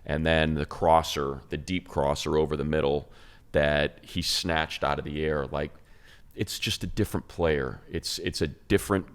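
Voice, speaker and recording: A man's voice: a bass voice, steady tone, normal speed, smooth. A man giving casual sports podcast commentary as a host, conversational and emphatic, repeating phrases for stress. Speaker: sports show host and analyst.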